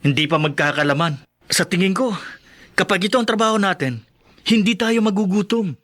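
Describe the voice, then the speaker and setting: A man's voice: high voice, brisk, a bit bright. A man playing an eager, carefree young provincial man in a radio drama, exclamatory in manner. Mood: easygoing. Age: young adult.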